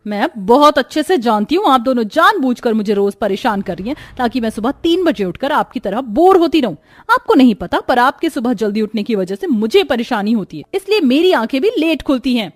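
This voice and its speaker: Cartoon young wife, high voice, sulky and defiant, complains with exaggerated whininess. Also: female voice, big pitch swings, a little fast. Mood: indignant, complaining. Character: young sulky daughter-in-law, defiant, whiny, fond of sleep. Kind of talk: Hindi cartoon story dialogue.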